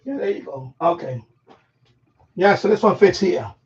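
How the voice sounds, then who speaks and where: A man's voice: high-pitched, animated, normal speed, darkish tone. A middle-aged man hosting a casual, rambling live stream, talking informally and slangily as if to himself while he handles things. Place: room at home being set up as a radio shack. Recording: dull lo-fi sound, distant from mic.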